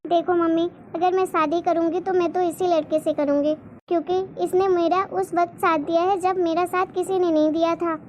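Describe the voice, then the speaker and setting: A woman's voice: very high voice, level tone, moderate pace, darkish tone, a little hiss or hum. A woman voicing a determined, devoted young daughter in a cartoon moral story, speaking earnestly and explaining herself. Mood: firm, pleading.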